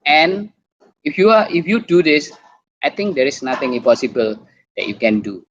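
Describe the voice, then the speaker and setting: A man with an Indonesian accent giving an online talk as a doctor, halting and repeating words as he goes. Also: fairly high pitch, fairly bright tone, a little hiss or hum. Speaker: doctor and guest speaker. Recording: muffled lo-fi video call.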